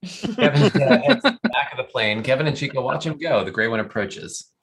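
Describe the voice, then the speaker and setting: A narrator reading out scripted stage directions at an animated comedy table read. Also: medium pitch, brisk, crowd noise.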